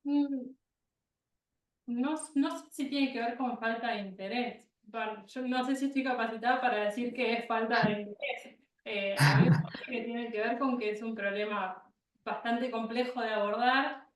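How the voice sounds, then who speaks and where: A woman's voice: fairly high pitch, steady tone, moderate pace. A woman defending her thesis, answering tentatively and thinking aloud. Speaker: thesis candidate. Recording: echoey room, distant from the mic.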